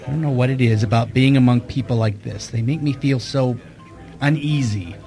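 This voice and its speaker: An actor playing a wisecracking, informal private detective in a radio mystery. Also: male voice, mid-range voice, moderate pace, mellow, faint music behind, close to an everyday voice. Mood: uneasy. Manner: wry, informal. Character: wisecracking private detective, uneasy among high society. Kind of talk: hard-boiled detective radio drama.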